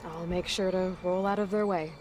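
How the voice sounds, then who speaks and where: A woman's voice: on the low side, fairly even intonation, normal speed, noisy background, lightly acted. Woman acting a guarded, determined young game heroine. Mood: matter-of-fact. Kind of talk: video game cutscene acting.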